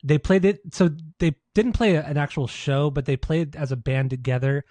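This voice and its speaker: A man's voice: fairly high pitch, normal speed, warm tone, dry recording. An American man co-hosting a podcast, chatting casually about music in an informal, slangy, sweary way. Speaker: podcast co-host.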